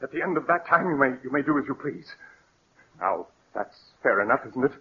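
An actor playing a scheming, falsely solicitous murderer in a 1940s radio mystery, with theatrical, hesitant politeness and a coaxing undertone. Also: male voice, mid-range voice, expressive, brisk, slightly rough. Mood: urgent, coaxing. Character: smooth, scheming male murderer, nervy yet falsely solicitous. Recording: muffled, lo-fi 1940s radio recording.

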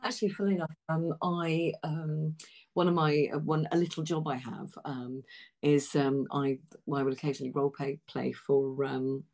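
A woman's voice: low voice, flat delivery, normal speed, darkish tone. A woman with an English accent chatting casually as an interview guest, effusive and emphatic, often hesitating mid-sentence. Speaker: interview guest, theatre practitioner. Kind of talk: casual chat.

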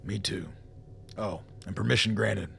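An actor playing a weary, gruff old colonel in a radio play, with a gravelly voice and a blunt, folksy, wry way of talking. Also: male voice, on the low side, fairly even intonation, unhurried, fairly bright tone, full character voice. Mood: brisk, commanding. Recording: noticeable background noise.

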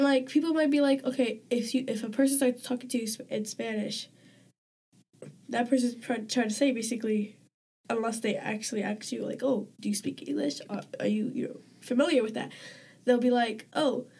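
A woman with a mellow, warm tone speaks as a guest in a casual podcast conversation. She talks haltingly, circling back with fillers and restarts as she works through her thoughts. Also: a little high, steady tone, breathy edge. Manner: hesitant, with many fillers and restarts. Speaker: guest community member.

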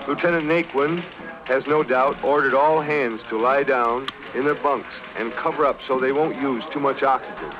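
A man's voice: medium pitch, steady tone, moderate pace. A man explaining as an expert guest in a formal 1930s radio interview. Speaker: submarine expert being interviewed. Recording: dull lo-fi 1930s radio, music behind.

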